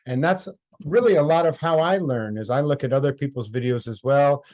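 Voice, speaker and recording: Male host, friendly, measured delivery, online talk. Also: medium pitch, a little mellow.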